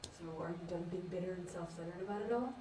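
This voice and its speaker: A woman's voice: lowish voice, quick pace, crowd noise, close to an everyday voice. A young woman in a student stage play, acting a frank, earnest teenage girl who bluntly reasons with others. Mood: blunt, reasoning. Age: young adult. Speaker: student actor in a one-act play. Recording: echoey, distant mic, clear noise.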